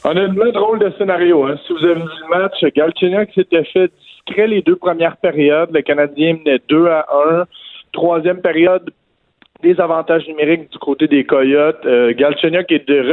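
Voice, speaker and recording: Casting: male radio sports reporter, Quebec French accent, slightly high pitch, speaking over a narrow phone line. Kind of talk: live radio hockey recap.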